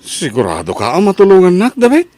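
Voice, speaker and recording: A man's voice: sits high, wildly swooping pitch, quick pace, darkish tone, no room sound. A man acting an earnest, restless son who wants work, in an emphatic radio-style drama manner. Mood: eager, hopeful.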